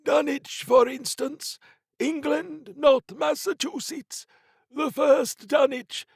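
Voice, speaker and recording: A man voicing a sinister, chiding fish-blooded cultist in an audiobook, with a slow, drawn-out croak. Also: very high-pitched, steady tone, dry recording, studio quality, voice pushed up, heavily acted. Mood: chiding menace. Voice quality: croaking, creaky.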